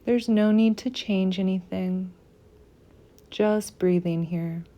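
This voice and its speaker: A woman leading a guided meditation in a soft, soothing, unhurried manner with gentle pauses. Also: mid-range voice, fairly even intonation.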